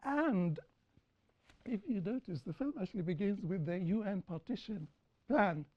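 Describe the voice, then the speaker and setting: A woman speaking on a panel after a film screening, lecturing in a deliberate way and repeating points for emphasis. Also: mid-range voice, expressive. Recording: dull lo-fi recording.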